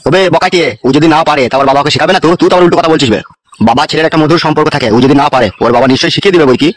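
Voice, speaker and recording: A man's voice: a little high, racing, no echo, lightly acted. A man voicing a bossy, crude club ringleader in a comedy cartoon, teasing and swearing as he talks. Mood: mocking.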